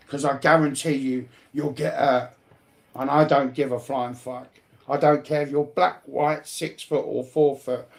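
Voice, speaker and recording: A man's voice: fairly high pitch, creaky. A man chatting casually in a blunt, combative, slangy way with heavy swearing. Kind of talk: casual, heavily sweary chat.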